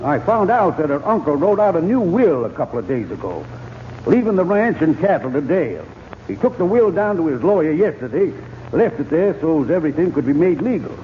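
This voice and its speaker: An actor playing a stern, plain-spoken American sheriff in an old-time radio western. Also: male voice, fairly high pitch, big pitch swings, a little fast, slight background noise. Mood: grave, suspicious. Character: stern, folksy western sheriff, measured and suspicious. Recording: tinny, band-limited old radio recording.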